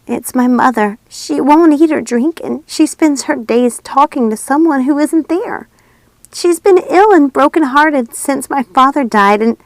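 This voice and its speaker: A woman's voice: sits high, animated, a little slow, no room sound, pitched above the performer's own voice. A woman voicing a frightened, sorrowful little princess girl with a pleading, tearful manner in a storybook reading.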